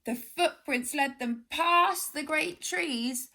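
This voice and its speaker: Lady reading a kids story, high voice, takes her time, little asides to the children. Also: slow pace, vocal fry, noisy background. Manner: animated, questioning asides.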